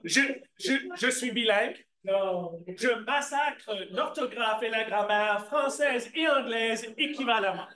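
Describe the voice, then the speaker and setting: A man leads a church Bible class in a lively, conversational way, asking questions and then answering them himself. His voice sits high for a man, and the echo of the room comes through around him. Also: slow pace. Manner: slips into English asides. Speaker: Bible teacher. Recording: echoey room.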